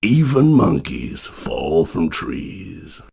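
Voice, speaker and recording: A gravelly ancient spirit fisherman. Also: huge pitch swings, exaggerated voice. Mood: cryptic. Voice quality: deep gravelly voice. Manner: slow proverbs, dry mockery. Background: loud music.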